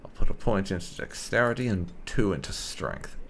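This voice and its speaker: A man thinking aloud during a game playthrough, talking in a dry, wry and unhurried way. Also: deep voice, expressive, fairly bright tone, slight background noise. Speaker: gaming channel host. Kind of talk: casual gameplay commentary.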